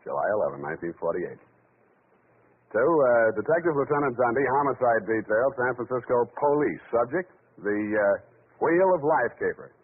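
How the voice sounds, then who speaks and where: An American actor playing a wry, hard-boiled male private detective in 1940s radio style, dry, wisecracking and unhurried. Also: medium pitch, very animated, lightly acted. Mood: wry dictating. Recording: tinny, noisy 1940s radio recording.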